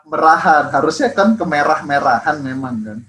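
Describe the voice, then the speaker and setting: A man in a casual chat with friends, relaxed and patiently explaining regional words. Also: fairly high pitch, normal speed, a little hiss or hum. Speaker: podcast co-host.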